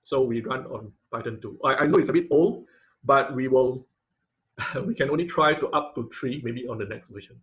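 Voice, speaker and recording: A man teaching an informal online class and taking questions, speaking haltingly and often repeating phrases as he searches for words. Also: mid-range voice, phone-quality sound. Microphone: online video-call audio.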